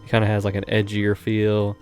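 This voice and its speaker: A young man from Indiana with a lowish, flat voice co-hosts a casual podcast, rambling loosely and filling his sentences. Faint music sits quietly underneath. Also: a little fast. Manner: rambling, lots of "like" fillers. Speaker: podcast co-host. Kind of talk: casual chat.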